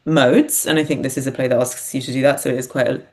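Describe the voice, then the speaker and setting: A woman's voice: very deep voice, fairly even intonation, quick pace. A woman speaking casually as an interview guest, reflective and thinking aloud as she answers. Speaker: podcast guest, writer and performer.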